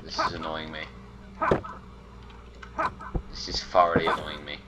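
A man's voice: very deep voice, level tone, fast talker, very noisy. A man casually commentating his own gameplay, muttering in exasperation and talking to the objects he finds.

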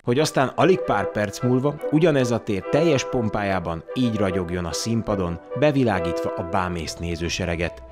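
A man with a fairly low voice narrates a magazine report in a measured, even way. Orchestral music plays clearly beneath his scripted reading. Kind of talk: scripted documentary voice-over. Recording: voice-over with loud orchestral music behind.

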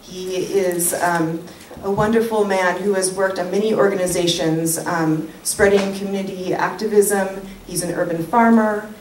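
A woman's voice: fairly low pitch, steady tone, measured pace, darkish tone. A woman in her forties giving an informal public speech as a community activist at a lectern microphone. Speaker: community activist introducing a speaker. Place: wood-panelled community hall, at a lectern. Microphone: handheld-type mic on stand. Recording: echoey hall, distant mic, faint noise.

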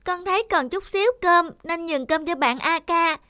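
A woman's voice: extremely high pitch, normal speed, sharp tone, no echo, clean studio sound. A woman voicing a plaintive, childlike little boy in a cartoon. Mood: meek. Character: spoiled, whiny little boy softening into remorse. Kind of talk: cartoon voice acting.

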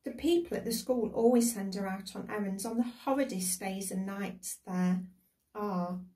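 A woman's voice: medium pitch, normal speed, mellow, far from the mic, natural voice. A woman voicing an eager, curious, well-bred little girl in a storybook reading. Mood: concerned chatter. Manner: eager, questioning.

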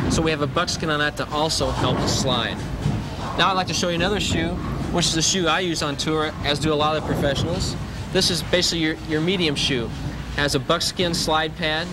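A man's voice: fairly high pitch, very noisy. A man in his thirties, a pro bowler, explaining grips and shoes in an instructional video, recorded indoors with a lapel mic and repeating the key points. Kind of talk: instructional demonstration. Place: indoor bowling alley.

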